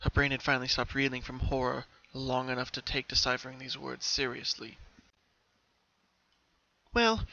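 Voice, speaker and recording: A man's voice: mid-range voice, monotone, a little fast, creaky, few pauses, sharp tone, lo-fi sound. A man narrating a story from a script as a dramatic reading.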